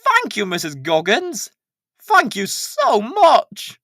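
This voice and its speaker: A man's voice: extremely high pitch, wildly swooping pitch, slow pace, bright, forceful tone, no room sound, studio quality, pitched above the performer's own voice, full character voice. A man voicing a friendly, good-natured village postman in a children's story, with a cheerful, earnest manner. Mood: delighted, cheerful.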